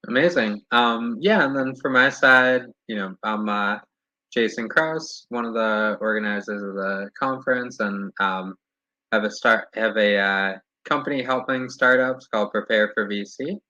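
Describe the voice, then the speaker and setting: A man moderating an online panel, chatting loosely with frequent fillers and restarts. His voice is bright and dry, and it comes through dull, lo-fi video call audio. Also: on the low side, unhurried, dry recording. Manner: casual, many fillers and restarts.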